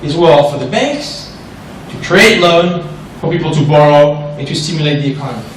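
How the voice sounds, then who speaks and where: A man with a French accent gives an animated investment seminar to an audience, often checking in with "right?". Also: fairly high pitch, normal speed, slight background noise. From France. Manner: animated, asks the audience questions, frequent "right?". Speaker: investment specialist presenter. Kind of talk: seminar presentation. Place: large echoey room with an audience. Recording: echoey room from far off.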